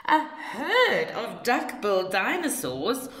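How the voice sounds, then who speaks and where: Woman, children's storyteller, very unhurried, animated pitch, roomy sound. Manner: slow, theatrical delivery for children. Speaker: storyteller reading a picture book aloud. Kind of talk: expressive children's story reading.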